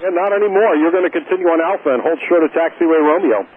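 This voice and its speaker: A man's voice: fairly high pitch, fast talker. A man working as an air traffic ground controller, giving pilots blunt, exasperated instructions over the radio. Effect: radio transmission. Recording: tinny air traffic radio, faint static.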